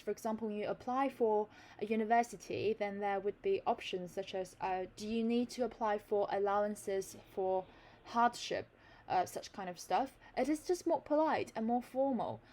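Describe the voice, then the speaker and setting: A woman's voice: medium pitch, darkish tone. Chinese woman teaching in a learned British accent. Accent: British English, learned. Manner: reads passages aloud, then explains with fillers and restarts. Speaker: English tutor. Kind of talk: reading and explaining an article.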